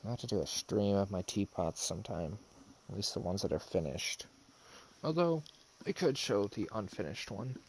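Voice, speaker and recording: A man's voice: on the low side, animated, normal speed, a little hiss or hum, rough recording. A man doing casual live commentary as a game streamer, sometimes reading dialogue aloud. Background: game music.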